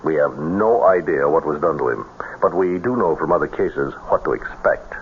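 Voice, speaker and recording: Grave, deep-voiced American army colonel. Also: male voice, expressive, moderate pace. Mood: suspicious, commanding. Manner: grave, authoritative, formal. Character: stern, suspicious middle-aged army intelligence colonel. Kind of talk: old-time radio drama acting. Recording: muffled, noisy old radio drama recording.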